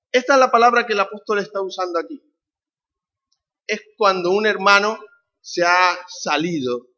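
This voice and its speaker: A man's voice: fairly high pitch, bright, forceful tone. A man from the Canary Islands with a Canarian Spanish accent, preaching a conversational sermon and putting questions to the congregation. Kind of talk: sermon with a family anecdote. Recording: dull lo-fi recording.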